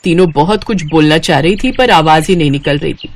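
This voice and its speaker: A woman's voice: sits low, a little fast. A woman reading scripted narration for a moral story as its narrator.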